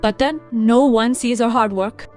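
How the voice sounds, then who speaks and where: A woman's voice: a little high, unhurried, a little mellow, soft background music, close to an everyday voice. A woman playing a weary, aggrieved young daughter-in-law in a cartoon family story. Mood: resentful.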